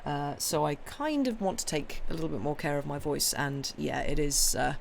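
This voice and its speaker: Croaky young woman chatting. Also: low voice, a little hiss or hum. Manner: rambling, halting, full of ums and restarts; friendly and apologetic. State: croaky, hoarse voice. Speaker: livestream story reader. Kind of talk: casual chat with viewers.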